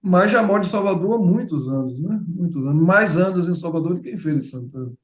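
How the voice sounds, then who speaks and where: A man's voice: sits high, animated, fast talker. A middle-aged man with a Bahian accent, a writer chatting as an interview guest, full of fillers and repeated words. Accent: Bahian Portuguese. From Bahia, Brazil. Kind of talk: casual interview chat. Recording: echoey room.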